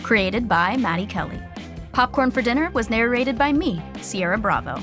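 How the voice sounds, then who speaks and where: A woman's voice: fairly high pitch, expressive, moderate pace, close to an everyday voice. A woman narrating a scripted audio sitcom as a wry storyteller who comments on the action. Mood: plain credits. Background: music.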